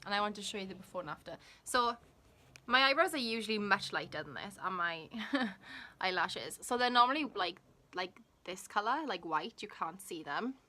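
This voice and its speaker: A woman's voice: mid-range voice, expressive, normal speed, sharp tone. A young woman from Wales with a Welsh accent, whose first language is Welsh, chatting casually in a rambling vlog with giggly asides. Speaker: vlogger.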